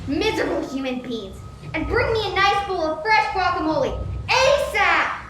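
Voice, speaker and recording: A woman acts out a greedy, scornful town mayor in a radio play, snapping orders imperiously. The voice is very high-pitched. The room echoes and there is faint noise behind it. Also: big pitch swings, normal speed, fairly bright tone, heavily acted. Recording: roomy echo with background noise.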